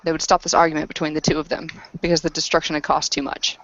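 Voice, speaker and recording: A woman playing a battle-worn werewolf woman who speaks in a terse, grave, halting storytelling manner in a tabletop roleplay. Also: deep voice, flat delivery, a bit bright, dull audio. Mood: grim, halting.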